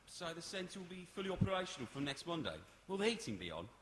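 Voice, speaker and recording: A man's voice: sits high, big pitch swings, fast, fairly bright tone. A man playing a cheeky, grumbling committee member with a dry manner in a live stage play. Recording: noisy echoey hall, live performance.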